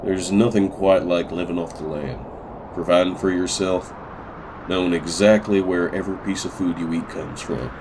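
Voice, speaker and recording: Man playing a very deep-voiced older farmer. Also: fairly even intonation, moderate pace, background noise. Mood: wistful. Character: weary older widowed farmer, former veterinarian. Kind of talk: amateur comic dub acting.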